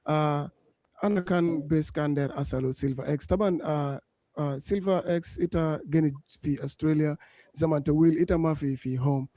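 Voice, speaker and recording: Radio host, warm slightly high voice, dry mic, halting. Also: male voice, no echo. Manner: mixes languages, hesitant with many "uh"s. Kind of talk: radio interview question.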